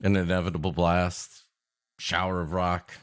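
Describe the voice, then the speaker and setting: A man's voice: low-pitched, little pitch movement, normal speed. A man co-hosting a comedy podcast, improvising dry, rambling mock-earnest riffs. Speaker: podcast co-host. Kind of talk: improvised comic banter.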